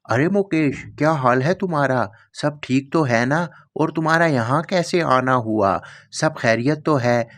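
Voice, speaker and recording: A man's voice: medium pitch, a little slow, hardly any pauses, fairly bright tone, close to an everyday voice. A man voicing a generous, hearty rich landlord in a cartoon story.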